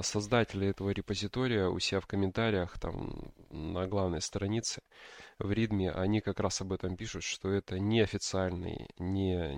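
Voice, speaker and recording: A man's voice: on the low side, creaky, dry recording, clean studio sound. A man co-hosting a podcast, explaining things casually and hesitantly as he thinks aloud. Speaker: podcast co-host explaining backend development. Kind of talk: casual explanatory tech discussion.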